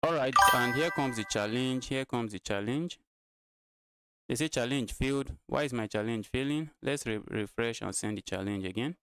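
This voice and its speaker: A man's voice: mid-range voice, moderate pace, a bit bright, clean tone, dry recording, soft background music. Male chess streamer, twenties, clean resonant voice, dry sound, chatty and repetitive with viewers. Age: 20s. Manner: talks to viewers, repeats his phrases. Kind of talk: casual live-stream chess commentary.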